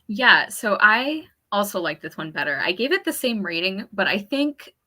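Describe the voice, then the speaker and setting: A woman talks through a book in a relaxed live-stream chat, her voice bright and forward. She keeps a chatty, easygoing flow, full of little fillers and quick laughs, and sounds like she is on a video call. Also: mid-range voice. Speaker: book club co-host. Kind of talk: casual book discussion.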